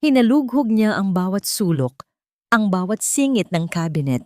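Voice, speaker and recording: A woman's voice: medium pitch, sing-song, moderate pace, a little mellow, no echo, studio quality. A woman reading a scripted, dramatic love story as its narrator.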